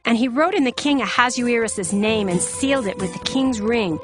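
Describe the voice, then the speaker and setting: A woman's voice: on the high side, moderate pace. Woman narrating scripture over prominent music.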